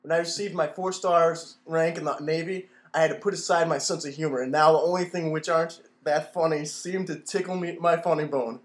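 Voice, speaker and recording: A man playing a pompous, rambling retired admiral who runs a radio station, deadpan, in a mock old-time radio play. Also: a little high, brisk, few pauses, lightly acted. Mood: blustering. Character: pompous, addled retired navy admiral and radio boss, male.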